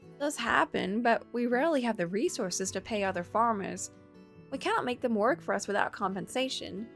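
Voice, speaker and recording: A woman voicing a hardworking, modest young farm woman in a visual novel, matter-of-fact and gently instructive. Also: on the high side, expressive, moderate pace, gravelly. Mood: modest, wistful. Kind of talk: visual novel character reading. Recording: background music.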